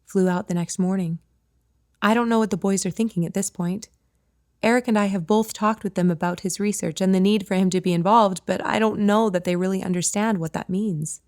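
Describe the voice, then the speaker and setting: A playful, goading woman friend who loves to needle her, read by a woman narrator. Her lines come out louder and a touch higher than the narration. An audiobook dialogue voice. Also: steady tone, brisk, no room sound, clean studio sound, natural voice. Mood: teasing. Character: teasing, sly woman friend.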